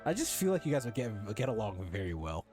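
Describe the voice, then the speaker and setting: A man's voice: medium pitch, sing-song, fast. A young man casually commentating on a game, slangy and rambling, often repeating himself. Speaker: game streamer. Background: game music.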